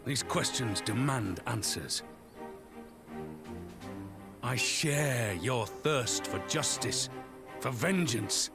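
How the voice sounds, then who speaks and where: A man playing a solemn, commanding resistance leader in a video game, giving formal, impassioned oratory. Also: mid-range voice. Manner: stately, formal, impassioned rhetorical delivery. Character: solemn, commanding male resistance leader rallying an angry crowd.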